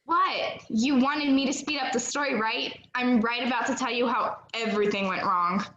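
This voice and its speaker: A woman's voice: on the high side, moderate pace, fairly bright tone, slight background noise, close to an everyday voice. A young woman acting in a play as a dramatic, stubborn young woman who recounts her own past. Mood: insistent. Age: young adult. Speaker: student actor in a school play. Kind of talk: one-act play acting. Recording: dull, echoey room sound.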